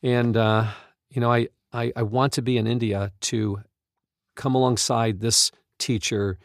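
Earnest man with a gravelly edge. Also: medium pitch, little pitch movement, a little slow, no room sound, clean studio sound. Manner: earnest, emphatic, exclamatory. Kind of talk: unscripted conversational talk with testimonies.

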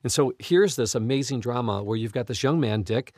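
A man hosting a devotional talk, retelling stories and quoting scripture in an earnest, emphatic, deliberate way. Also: mid-range voice, darkish tone, no echo, studio quality. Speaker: podcast host and Bible teacher.

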